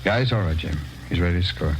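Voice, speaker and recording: Easygoing dope dealer man, deep voice, coaxing, old noisy radio drama. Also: brisk. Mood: casual, businesslike. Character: easygoing, smooth-talking male narcotics dealer. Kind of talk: 1950s radio crime drama acting. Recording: noisy, crackly vintage radio recording.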